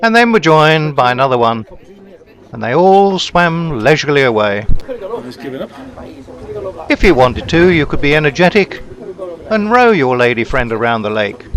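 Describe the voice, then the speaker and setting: A man reading a scripted travelogue voice-over in a measured, descriptive storytelling way. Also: a little high, a bit bright. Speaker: travelogue narrator. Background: background music.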